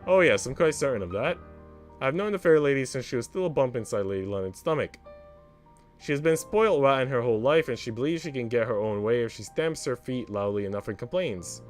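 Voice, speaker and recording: Visual novel role: worldly female parlour maid, sardonic and composed, with expressive intonation, read by a man. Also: mid-range voice, a little fast, soft background music, natural voice. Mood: matter-of-fact. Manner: dry, unruffled, sardonic. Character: wry, worldly older parlour maid, an outsider woman.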